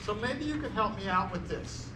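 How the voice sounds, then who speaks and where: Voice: mid-range voice, animated, normal speed, pushed and bright, faint music behind. A performer reading a playful, eager little brother, a bored boy, in a staged play reading. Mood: cooperative. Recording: noisy, echoey room.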